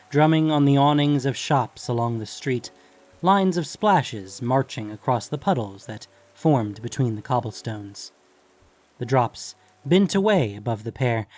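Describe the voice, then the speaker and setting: A man narrating scripted fiction in a measured, deliberate storytelling manner. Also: mid-range voice, darkish tone.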